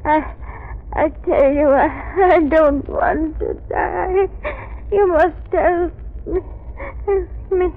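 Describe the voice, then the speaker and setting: A woman's voice: extremely high pitch, steady tone, drawn-out words, pauses often, full character voice. An actress playing a frail, desperate old woman, pleading breathlessly in melodramatic 1930s radio style. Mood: pleading, weak. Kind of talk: 1930s radio drama acting. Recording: tinny, narrow 1930s radio recording.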